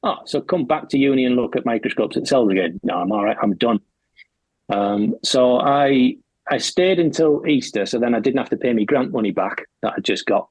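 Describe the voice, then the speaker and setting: A man's voice: medium pitch, lively intonation, normal speed, a little mellow, breathy edge, no room sound, poor audio. A man in his forties, a podcast guest, telling his story with wry humour. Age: 40s. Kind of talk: casual chat.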